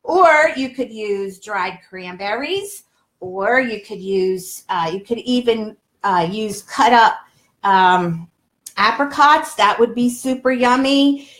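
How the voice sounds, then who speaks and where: A woman's voice: fairly high pitch, fairly bright tone. An older woman chatting casually and exclaiming to viewers as she hosts a live cooking demonstration from her home kitchen. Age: older, around 60s. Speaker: health coach and cooking show host. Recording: roomy, echoey home kitchen sound.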